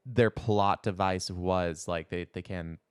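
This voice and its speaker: A man's voice: low voice, steady tone, takes it slowly, smooth, no echo. A man co-hosting a podcast in casual chat, speaking informally with lots of "like" thrown in. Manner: informal, peppers speech with "like". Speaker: podcast co-host.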